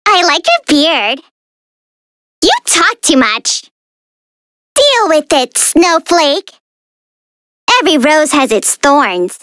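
Squeaky, very high-pitched mischievous little fairy. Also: female voice, sing-song, takes it slowly, long pauses, fairly bright tone, no echo, studio quality, exaggerated voice. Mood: sassy, mocking. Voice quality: girlish, squeaky. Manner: playful, theatrical, teasing, sassy. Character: tiny mischievous female fairy, vain and bratty. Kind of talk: video game character voice lines.

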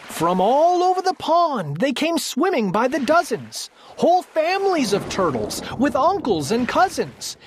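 A woman narrating a children's storybook aloud, reading the verse in an expressive, emphatic, theatrical way. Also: sits high, a little slow, slight background noise.

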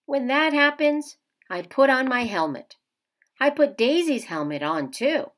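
A woman narrates a picture book for young children. She reads slowly and expressively, with a lot of rise and fall in her pitch. Her delivery is that of a storybook read-aloud. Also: lively intonation, a bit bright.

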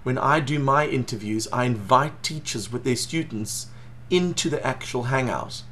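A middle-aged man with a South African accent, an educator giving an earnest, steady talk to a webcam in a small home office. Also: mid-range voice, moderate pace, slight background noise. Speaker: educator presenting. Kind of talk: scripted talk to camera.

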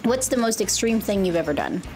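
A woman hosting an interview, reading scripted quick-fire questions in a brisk, upbeat way. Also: mid-range voice, expressive. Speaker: interview host. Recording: background music underneath.

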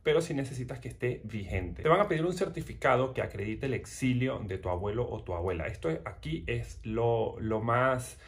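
A man's voice: mid-range voice, lively intonation, brisk, few pauses. A man, chatting straight to the viewer as he explains a process step by step, recorded close at home. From Venezuela. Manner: chatty and direct, addresses the viewer as you, repeats himself. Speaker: YouTuber explaining a legal process. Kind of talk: casual explainer to camera. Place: small indoor room at home. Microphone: camera or phone close in front.

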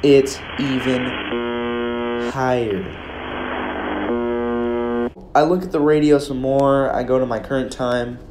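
A man's voice: medium pitch, level tone, slow pace, pauses often, over music. A young American man rambling casually and hesitantly through an informal commentary. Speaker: video creator. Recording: roomy echo, faint background noise.

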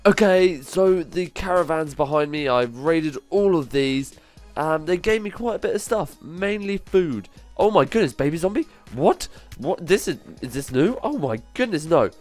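Nasal, excitable gaming guy with a cold. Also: a little high, moderate pace, soft background music. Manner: excitable, lots of exclamations. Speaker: gaming channel host. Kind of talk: gameplay commentary.

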